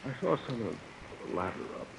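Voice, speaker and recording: A man playing a stubborn, weakened crime victim in an old radio drama, speaking haltingly and testily. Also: medium pitch, very animated, vocal fry. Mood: strained. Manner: halting, labored, testy. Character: irascible injured gentleman, robbery victim, stubborn and weakened. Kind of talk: old-time radio suspense acting. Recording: vintage radio with faint rain noise.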